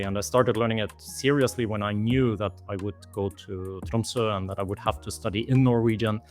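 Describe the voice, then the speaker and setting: A man's voice: medium pitch, darkish tone. Man explaining thoughtfully. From southeast Germany. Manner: thoughtful, explanatory, lightly amused. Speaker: guest, language course author. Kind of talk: conversational interview answers.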